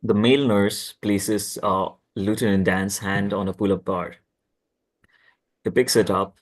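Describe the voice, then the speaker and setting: A man's voice: on the low side, fairly even intonation, measured pace. A man narrating a script at a table read, reading out stage directions.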